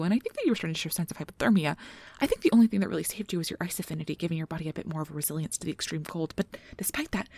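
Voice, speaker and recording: A woman playing a nervous, caring young magic researcher in an ASMR audio drama, rambling in a flustered, halting, apologetic way. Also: fairly low pitch, a little mellow, husky, no echo. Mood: concerned, thoughtful.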